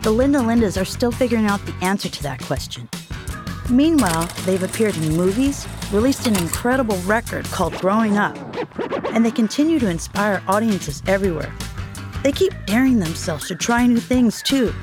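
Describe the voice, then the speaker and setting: A woman's voice: mid-range voice, lively intonation, normal speed. A woman reading a scripted children's podcast story, addressing her listeners in an encouraging way. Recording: music behind the voice.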